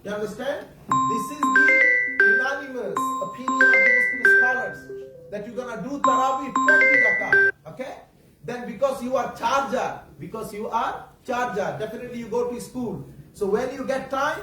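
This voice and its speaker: A man whose first language is Bengali, preaching a sermon to children in English, halting and repeating himself, often asking the audience questions. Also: extremely high pitch, over loud music. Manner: halting, repetitive, searching for words. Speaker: Islamic preacher. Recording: echoey room, distant mic, faint background noise.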